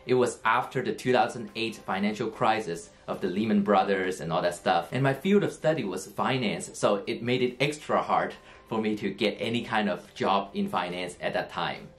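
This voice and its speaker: Man, low earnest voice. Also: moderate pace, fairly bright tone, faint music behind. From Hong Kong. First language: Cantonese. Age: 30s. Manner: earnest, explanatory, with many fillers and restarts. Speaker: English teacher. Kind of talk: personal story talk to camera.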